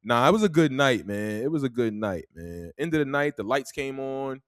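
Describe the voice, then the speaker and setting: A young man with a thick New York accent spins a long, easygoing story, loose and conversational, often finishing a thought with "man". His voice sits at an ordinary middle pitch. It is recorded dry and close, with no room sound. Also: animated, moderate pace, clear, resonant tone, dry recording. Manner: laid-back, drawling storyteller, tags lines with "man". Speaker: podcast host. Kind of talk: casual storytelling.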